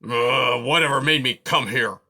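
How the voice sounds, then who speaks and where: A man voicing a grumpy, complaining male porcupine who snarls and shouts in loud outbursts. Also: mid-range voice, a little slow. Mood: grumbling.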